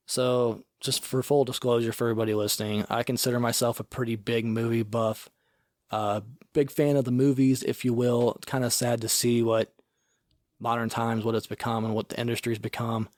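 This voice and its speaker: A man in a relaxed podcast chat, his voice sitting at an ordinary middle pitch. He rambles loosely and fills his sentences with "like" and "you know." The sound is close and dry, with no room around it. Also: normal speed, dry recording. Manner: rambling, filler-heavy, often says "like". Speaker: podcast co-host. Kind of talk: casual chat.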